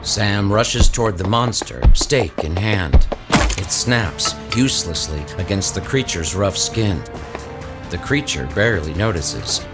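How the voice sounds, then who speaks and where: A man's voice: sits low, lively intonation, measured pace. A man reading dramatic scripted narration for an audio story, with music behind him.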